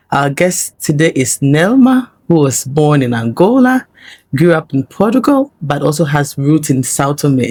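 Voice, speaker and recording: A woman hosting a podcast interview, asking gentle questions and listening attentively with short murmurs. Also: low-pitched, no echo. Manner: attentive, with brief murmured acknowledgments. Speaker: podcast host.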